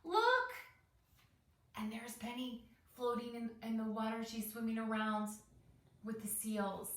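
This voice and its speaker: A woman's voice: on the high side, monotone, normal speed, a little mellow. A woman teacher reading a picture book to children, explaining as she goes and putting questions to the listener. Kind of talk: picture-book read-aloud with commentary for children. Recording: roomy echo, distant mic.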